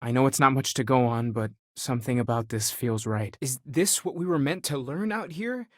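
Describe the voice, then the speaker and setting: Young male space explorer played by a man, game character: sincere, softly questioning seeker, dry studio-close sound. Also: mid-range voice, moderate pace, no room sound, natural voice. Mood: curious, hopeful. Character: earnest, curious, spiritually searching young male space explorer. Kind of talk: video game voice acting.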